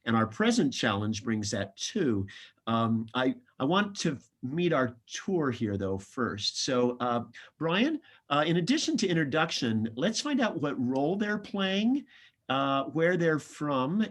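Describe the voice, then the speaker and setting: A man co-hosting a seminar in a conversational style, halting as he thinks aloud. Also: a little high, a bit bright. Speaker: education director, co-host.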